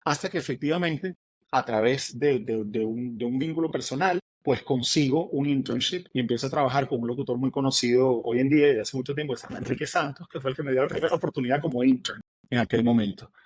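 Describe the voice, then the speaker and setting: A middle-aged man with a Venezuelan accent, an author telling his story as an interview guest, animated and acting out what others said. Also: mid-range voice, breathy edge, dry recording. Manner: animated, fast, acts out quoted dialogue.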